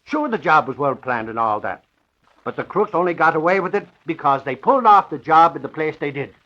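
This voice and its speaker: An actor playing an excitable, blustering former cop in 1940s radio style, on a dull, noisy old recording. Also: male voice, on the high side, a little fast. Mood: indignant, emphatic.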